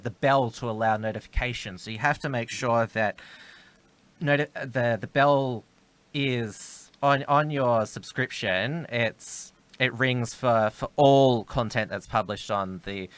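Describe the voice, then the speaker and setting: Man, medium pitch, dry recording, steady co-host chat. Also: no room sound. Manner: steady, plugging his shows, frequent uhs. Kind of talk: casual live-stream chat.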